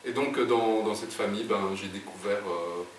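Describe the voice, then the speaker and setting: A man's voice: on the low side, normal speed. A middle-aged man, telling stories as an interview guest, hesitant, with many fillers and restarts. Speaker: guest writer. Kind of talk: casual interview storytelling. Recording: echoey room, distant mic, background noise.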